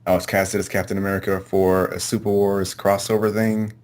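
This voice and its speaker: A man with a low-pitched voice gives casual interview answers. He is low-key and a little hesitant, feeling his way through each reply. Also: little pitch movement, a little fast, a little mellow. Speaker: amateur voice actor, interview guest.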